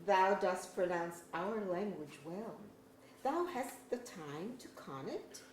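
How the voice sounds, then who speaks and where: A woman playing a friendly, devoted elf ambassador in an archaic verse drama, expressive in delivery. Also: mid-range voice, takes it slowly. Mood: cheerful. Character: friendly, doting elf ambassador befriending a captive. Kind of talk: staged reading of pseudo-Elizabethan verse drama. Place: museum auditorium, live reading. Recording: echoey auditorium with background hiss.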